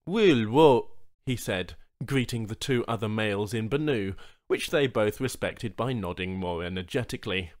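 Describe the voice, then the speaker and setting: A man's voice: on the low side, normal speed, few pauses. A man narrating a scripted short story as an audiobook reader.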